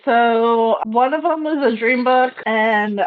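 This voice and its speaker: A woman talking casually as a player in a tabletop roleplaying game, halting and stumbling a little over her words, on lo-fi audio. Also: on the high side, clean tone. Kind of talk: casual chat. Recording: dull, lo-fi audio.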